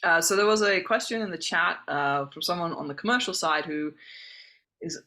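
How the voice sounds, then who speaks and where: A woman's voice: on the low side, moderate pace, pushed and bright. A woman hosting an online information session, answering questions in an accommodating, explanatory way. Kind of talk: spoken Q&A answering chat questions. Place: online video call.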